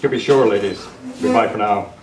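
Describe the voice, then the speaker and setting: A man's voice: fairly low pitch, fairly quick, close to an everyday voice. Guy doing upright masked cowboy hero, earnest n formal, roomy far-off mic, radio play reading. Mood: courteous, assured. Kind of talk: amateur old-time radio script reading. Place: club meeting room. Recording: roomy, distant camera mic, faint noise.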